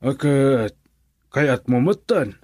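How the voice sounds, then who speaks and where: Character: husky, protective father. Delivery: slow. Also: medium pitch, lively intonation, warm tone, dry recording, studio quality. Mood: surprised, concerned. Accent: Ilocano. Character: probing, protective middle-aged father of grown daughter. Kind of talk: Ilocano radio drama acting.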